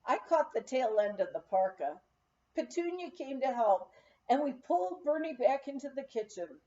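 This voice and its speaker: A woman reading a memoir aloud as an expressive storyteller, in the manner of an audiobook narrator. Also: sits high, normal speed, highs cut off. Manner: storytelling, acts out quoted dialogue. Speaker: audiobook reader.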